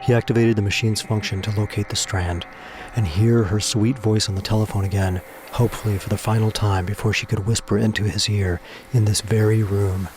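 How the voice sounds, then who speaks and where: A man's voice: lowish voice, steady tone, a little fast, over music. A man delivering scripted, dramatic story narration for a science fiction audio drama.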